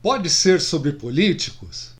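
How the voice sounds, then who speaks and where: A man acting animated higher-voiced middle-aged storyteller. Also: slow pace, breathy edge, heavily acted. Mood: curious. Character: middle-aged man confessing secrets to old drinking friends. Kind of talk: radio drama acting.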